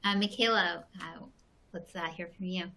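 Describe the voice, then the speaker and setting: A woman's voice: lowish voice, normal speed, pushed and bright. A woman moderating a panel discussion, easygoing and full of fillers.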